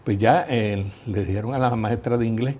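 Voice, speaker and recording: Husky older Puerto Rican guest, lively storyteller. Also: male voice, lowish voice, moderate pace. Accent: Puerto Rican Spanish. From Puerto Rico. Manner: lively anecdotal storyteller, mimics voices in quotes. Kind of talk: storytelling interview.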